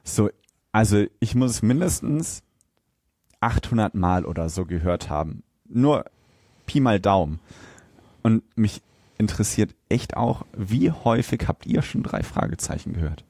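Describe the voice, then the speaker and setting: A man bantering casually as a podcast co-host, informal and quick to jump in with questions. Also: on the low side, lively intonation, vocal fry, warm tone, no echo, clean studio sound.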